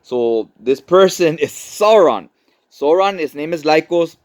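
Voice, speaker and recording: A man's voice: fairly high pitch, animated, measured pace, darkish tone. A man narrating a casual, conversational recap of a story, explaining it as he goes and checking in with the listener. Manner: conversational, explanatory, often adds tag questions. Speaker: comic book explainer, host.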